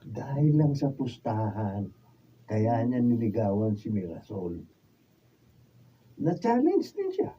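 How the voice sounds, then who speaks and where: A woman's voice: rumbling low, huge pitch swings, takes it slowly, pauses often, mellow, heavily acted. A Filipino woman playing a sly, teasing young student buddy in a radio drama. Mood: confiding. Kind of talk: Tagalog radio drama acting. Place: radio drama studio with ambient noise behind. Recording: dull, distant, faintly noisy studio camera sound.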